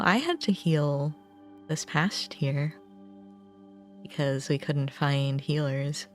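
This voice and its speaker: A woman livestreamer chatting casually with viewers in a rambling, self-deprecating way. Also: low voice. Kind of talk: casual gaming stream chat.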